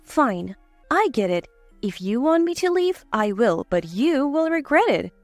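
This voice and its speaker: A woman's voice: sits high, big pitch swings, measured pace, no echo, faint music behind. A woman voicing a wronged daughter-in-law in her thirties, meek but defiant, in a story drama.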